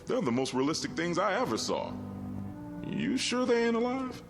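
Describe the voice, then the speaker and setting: A folksy, easily impressed working man chats in a radio drama. His slightly high voice leaps about with jovial exclamations, over clearly audible music. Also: sing-song, moderate pace. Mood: jovial, curious. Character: chatty, easily impressed working-class meter reader. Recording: music under the voice.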